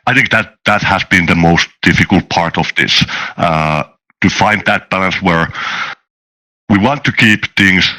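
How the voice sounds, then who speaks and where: A man explaining game design in a casual panel discussion, speaking in a halting way with frequent restarts. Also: low-pitched, pushed and bright, poor audio. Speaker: tabletop game designer and game master.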